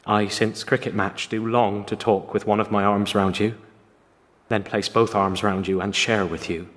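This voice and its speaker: A man's voice: on the low side, little pitch movement, normal speed, a bit bright, close to an everyday voice. Long reverb surrounds a man acting an earnest young male gamekeeper. He voices his letter with a servant's formality and longing, in radio drama style. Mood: earnest, yearning.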